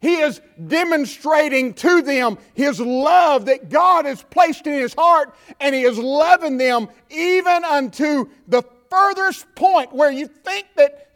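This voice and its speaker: A man with a Southern US accent preaching a sermon from the pulpit, building to fervent shouts and dropping to quiet talk. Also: very high voice. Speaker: pastor. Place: church.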